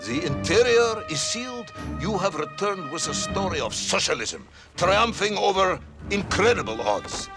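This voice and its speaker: An actor playing a stern, sardonic Soviet general in a game, an imperious interrogator. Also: male voice, sits high, sing-song, normal speed, music in the background. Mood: weary, stern. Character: stern, skeptical, gruffly indulgent Soviet general.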